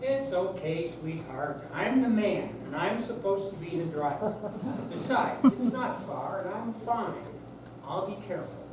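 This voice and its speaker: A woman's voice: medium pitch, lively intonation, unhurried, close to an everyday voice. A woman playing a stubborn but affectionate elderly husband in a staged reading, heard from far off in an echoey room. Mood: stubborn, reassuring. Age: older. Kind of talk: staged reading of a short play. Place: senior center room with audience, distant mic. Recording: echoey hall from far off, noisy.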